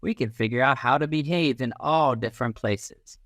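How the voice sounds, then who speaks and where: A man reading a children's picture book aloud as a teacher, in an expressive, instructive manner. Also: mid-range voice, normal speed, no room sound, clean studio sound. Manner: animated, instructive, acts out little sounds and quotes.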